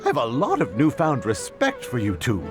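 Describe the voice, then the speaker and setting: A man's voice: mid-range voice, wildly swooping pitch, moderate pace. A man playing an easygoing, informal male character in a video game dub. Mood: impressed. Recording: music behind the voice.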